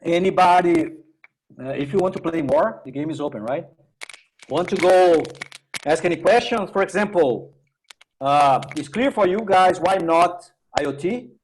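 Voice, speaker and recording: A man from Brazil with a Brazilian accent and Portuguese as his native language, giving an informal online tech presentation in a halting, repetitive way with "right?" tags. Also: sits high, animated, slight background noise, low-quality recording. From Minas Gerais, Brazil. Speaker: technical presenter and workshop instructor.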